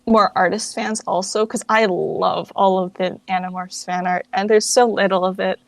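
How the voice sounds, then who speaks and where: A woman's voice: medium pitch, fairly even intonation, normal speed, creaky, dry recording. A young woman in her late teens chatting casually and informally as a guest in an interview. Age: late teens, young adult. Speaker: interview guest, fan project founder.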